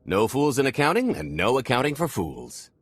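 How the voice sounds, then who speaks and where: An actor playing a cocky, easygoing smuggler in a video game, playful and swaggering. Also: male voice, mid-range voice, wildly swooping pitch, moderate pace, breathy edge, dry recording.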